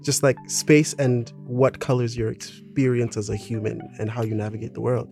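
A man hosts a relaxed podcast conversation with loose, rambling energy. His voice sits at an ordinary middle pitch, and he keeps trailing off into fillers. Faint music plays underneath. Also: moderate pace, creaky. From Southern Africa. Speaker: podcast host. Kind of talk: casual podcast chat.

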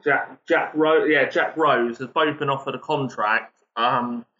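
A man's voice: mid-range voice, steady tone, a little slow, bright, forceful tone. A man with a mid-range voice is a guest on a podcast, rambling hesitantly and often restarting his sentences. His voice comes through a dull, lo-fi remote line. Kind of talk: casual podcast chat.

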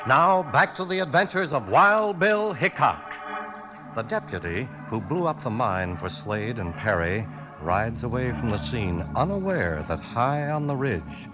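A man's voice: mid-range voice, big pitch swings, unhurried. An American man narrating an adventure serial as a radio announcer, in a dramatic scripted style. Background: music.

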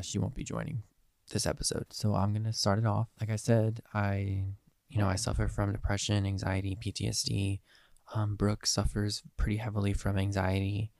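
A nineteen-year-old man with a head cold talks into a close mic in a dry room, his voice slightly nasal. The voice sits lowish and gravelly with fry. He rambles earnestly, full of ums and restarts. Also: a little mellow, dry recording. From Eastern Pennsylvania. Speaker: podcast host. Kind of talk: casual solo podcast monologue.

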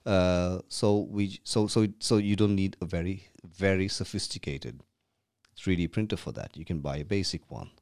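Podcast guest: Sri man, deep low voice, dry close sound, chatty and full of restarts. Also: normal speed, a little mellow, clear, resonant tone, no echo. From Sri Lanka. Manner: repeats and restarts words often, chatty. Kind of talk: casual conversational explaining. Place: rented room.

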